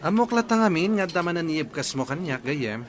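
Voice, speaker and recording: A man's voice: a little high, sing-song, a little fast. A man with an Ilocano accent playing a frank, advising friend in a radio drama. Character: frank loyal friend Brandon.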